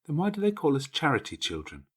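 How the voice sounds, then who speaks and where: A man's voice: fairly high pitch, wildly swooping pitch, quick pace, husky, pitched above the performer's own voice, full character voice. A man voicing a young, curious orphan girl who asks eager questions in an audiobook. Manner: inquisitive, questioning.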